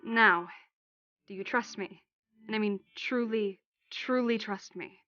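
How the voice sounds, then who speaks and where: A woman's voice: mid-range voice, slow, bright, forceful tone, no room sound, dull audio. Decisive young woman acted in fantasy roleplay. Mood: serious, reassuring. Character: loyal, decisive young woman, hero's best friend and planner. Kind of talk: fantasy audio roleplay acting.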